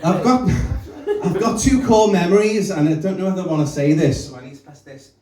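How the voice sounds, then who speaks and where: A man's voice: high voice, a little fast. A young man doing a brash, self-mocking stand-up set in a small comedy club. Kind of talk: stand-up set with crowd work. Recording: echoey room, distant mic, loud crowd laughter.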